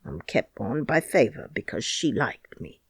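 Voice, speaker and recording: A woman voicing a crabbed, stubborn old gardener with a Yorkshire dialect, speaking dryly and slowly. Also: very deep voice, big pitch swings, slightly rough, no echo, pitched below the performer's own voice, exaggerated voice. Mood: plain. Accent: Yorkshire dialect, put on. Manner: dry, grumbling, slow. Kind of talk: audiobook character reading.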